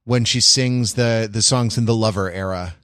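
Guy hosting a podcast, dry close sound, wry and halting, keeps circling back. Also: fairly low pitch, a bit bright, clean tone, dry recording. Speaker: podcast host. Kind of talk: casual wry discussion.

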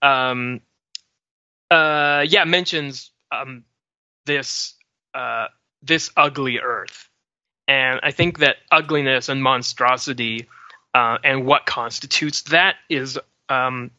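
A man co-hosting a podcast, analysing films casually in a deliberate, thoughtful way with hesitant pauses. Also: medium pitch, pushed and bright, no room sound, lo-fi sound. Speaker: podcast co-host.

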